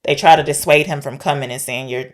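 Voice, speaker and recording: A woman's voice: deep voice, fairly even intonation, quick pace. A woman podcast co-host chatting casually and candidly as she recaps a film, often posing questions. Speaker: podcast co-host discussing films.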